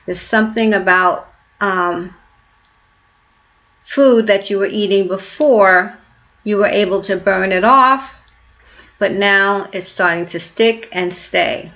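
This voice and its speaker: A woman's voice: mid-range voice, takes it slowly, pauses often, dull audio. A woman in her fifties, a health coach, talking casually to her viewers with lots of fillers and restarts. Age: 50s. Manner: warm, chatty, many fillers and restarts. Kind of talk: casual talking-to-camera vlog.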